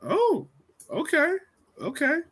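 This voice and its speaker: A man hosting a podcast in a casual sports chat, informal and slangy, telling things in an animated way. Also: very high-pitched, slow pace. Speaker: podcast host.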